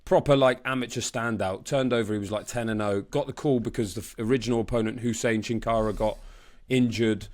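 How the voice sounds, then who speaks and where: A man with a mid-pitched voice co-hosts a casual sports chat. He talks informally, with plenty of slang and swearing, like a reporter off duty. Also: steady tone, fairly quick, hardly any pauses. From born in Brisbane, Australia. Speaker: boxing reporter and podcast co-host.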